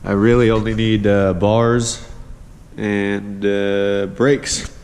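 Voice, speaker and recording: A man's voice: fairly low pitch, level tone, darkish tone, big-room sound. A young man with a badly stuffed-up, nasal voice from allergies, rambling casually and cursing through a garage motorcycle walkthrough. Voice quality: nasal, plugged-up. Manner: rambling, profane, halting with many uhs. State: congested, stuffed-up nose from allergies. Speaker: motorcycle DIY vlogger. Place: home garage workshop.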